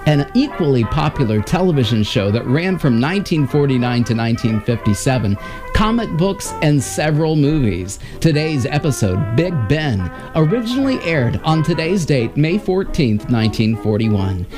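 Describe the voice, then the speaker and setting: A man's voice: mid-range voice, very animated, moderate pace. An American man in his fifties hosting with a scripted, emphatic announcer delivery at a broadcast microphone. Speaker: host. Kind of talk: scripted presentation. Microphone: large broadcast microphone on stand, close. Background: music.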